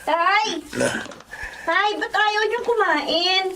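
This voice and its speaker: A woman's voice: extremely high pitch, very slow, pushed and bright, slight background noise, heavily acted. A woman playing a dutiful, obliging daughter in a radio drama. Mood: concerned. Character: Chona, dutiful, obliging daughter helping her parents.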